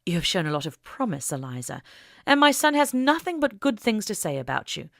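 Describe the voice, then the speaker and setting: A woman voicing a genteel, firm, warm older female suffragist in an audiobook, poised and gracious. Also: medium pitch, sing-song, a little fast, vocal fry, no room sound, studio quality. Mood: gracious, encouraging.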